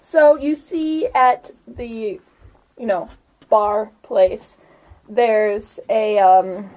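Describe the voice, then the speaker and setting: A woman narrates a tabletop game as its game master. Her voice sits on the high side and she takes her time, feeling out the scene haltingly. The delivery is casual and giggly. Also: fairly even intonation, a little mellow, highs cut off.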